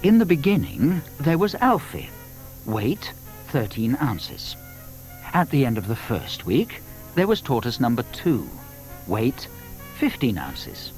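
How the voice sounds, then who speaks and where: A British man narrating a children's audio drama as a wry, measured storyteller. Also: mid-range voice, huge pitch swings, darkish tone, soft background music, poor audio.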